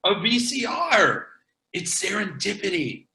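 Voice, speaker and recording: Bright, higher-voiced earnest man, acted. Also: very animated, measured pace, full character voice. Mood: excited. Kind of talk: table read of a comedy TV pilot.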